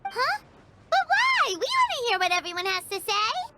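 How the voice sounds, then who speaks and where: Super high squeaky little fairy sidekick, chirpy n excitable, anime game, bouncy delivery. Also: female voice, normal speed, very noisy, full character voice. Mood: curious, chatty. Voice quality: squeaky, childlike. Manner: excitable, refers to herself by name. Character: tiny floating fairy girl companion, chirpy and curious.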